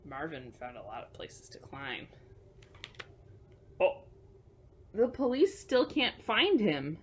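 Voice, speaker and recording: A woman hosting a children's storytime, reading picture books aloud with emphatic delivery and hushed asides. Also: deep voice, huge pitch swings, slow, background noise. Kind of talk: picture book read-aloud for young children.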